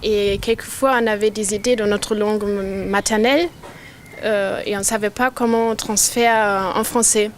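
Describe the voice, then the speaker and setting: A woman's voice: mid-range voice, fairly even intonation, moderate pace, a little hiss or hum. A young woman from Germany, a student giving thoughtful interview answers with fillers. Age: young adult.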